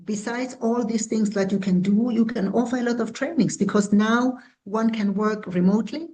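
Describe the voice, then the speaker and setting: A woman in her sixties from South Africa, a founder answering interview questions in an earnest, measured way. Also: a little high, mellow, a little hiss or hum, poor audio. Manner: earnest, measured, with small restarts. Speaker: guest, founder and CEO.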